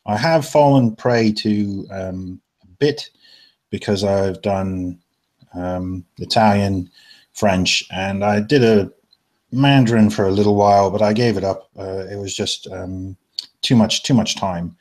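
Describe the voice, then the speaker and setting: Male co-host, very low pitch, measured hesitant delivery. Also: darkish tone. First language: English. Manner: measured, hesitant, frequent ums. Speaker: podcast co-host. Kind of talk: casual chat.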